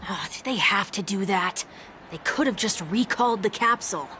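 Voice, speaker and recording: A wry, quick-witted young heroine, voiced by a woman, who asks pointed questions in an easy, middle-pitched voice. The voice is recorded for a video game, with loud game music behind it. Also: lightly acted. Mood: curious. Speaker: player character. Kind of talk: game voice acting.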